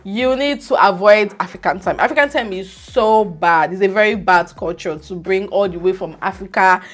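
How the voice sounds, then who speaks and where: A young woman from Nigeria with a Nigerian accent, talking to her viewers in a casual, animated and scolding vlog monologue. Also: medium pitch, normal speed. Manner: animated, scolding, pidgin words mixed in. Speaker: vlogger.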